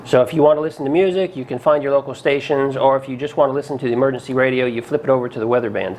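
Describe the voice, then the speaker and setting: A man's voice: mid-range voice, rapid-fire, darkish tone. A man hosting a casual product review, talking in a plain-spoken, folksy, conversational way. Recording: clearly noisy background ambience.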